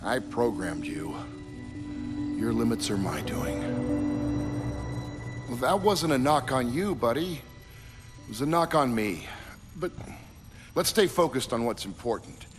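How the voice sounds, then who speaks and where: An actor playing an easygoing, self-critical creator of a digital world in a video game, speaking casually. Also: male voice, mid-range voice, measured pace, long pauses. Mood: earnest. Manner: relaxed, conversational. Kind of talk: video game cutscene acting. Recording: reverberant with game music.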